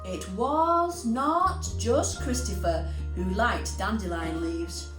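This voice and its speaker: A woman presenting and reading stories to young children, speaking expressively and deliberately, addressing them directly. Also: mid-range voice, animated. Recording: roomy echo, music underneath.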